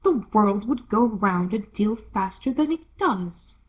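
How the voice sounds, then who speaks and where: A woman voicing a rude, bossy, violent-tempered Duchess with a hoarse, growling, emphatic delivery. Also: medium pitch, animated. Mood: scornful, snapping. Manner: curt, loud, drawn-out emphatic delivery.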